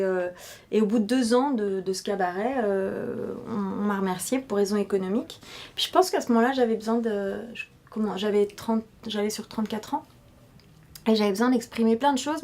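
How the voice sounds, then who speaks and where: A woman in her thirties from Paris, a showgirl and actress, talking casually in an interview and pausing often with filler sounds and restarts. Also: fairly high pitch, darkish tone. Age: 30s.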